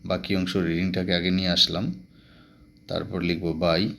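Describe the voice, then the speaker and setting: A man's voice: deep voice, flat delivery, moderate pace, creaky, fairly bright tone. A man with a Bangladeshi accent explaining grammar step by step as a teacher, mixing English terms into his speech.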